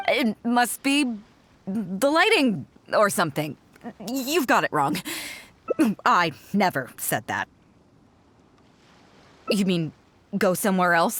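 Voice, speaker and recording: An actress playing a confident, playful yet reflective woman sea captain in video game dialogue, with a self-assured, measured way of speaking. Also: on the low side, a bit bright, heavily acted. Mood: self-assured, defensive. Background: music.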